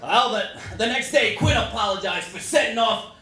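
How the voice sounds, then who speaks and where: Man as a high-voiced jovial baseball showman, echoey. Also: normal speed, pushed and bright. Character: jovial, boastful old-time baseball team owner and showman. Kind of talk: dramatic reading, first-person monologue. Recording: echoey room from far off, faint noise.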